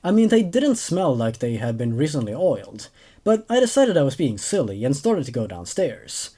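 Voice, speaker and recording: A young man narrating a story as host, reading expressively and in a measured way with dramatic pauses. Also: medium pitch, sing-song, dark tone. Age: young adult. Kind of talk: story narration with casual commentary.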